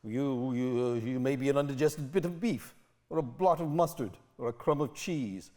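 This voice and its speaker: A man's voice: mid-range voice, normal speed, mellow, close to an everyday voice. A man playing a crotchety, skeptical old miser in old-time radio style, theatrical and scoffing. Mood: scornful, skeptical. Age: older.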